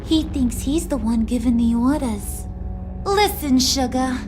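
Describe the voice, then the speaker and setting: High, taunting New villainess. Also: female voice, measured pace. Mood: menacing, mocking. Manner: taunting, sultry, slangy. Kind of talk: video game acting. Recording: loud music behind the voice.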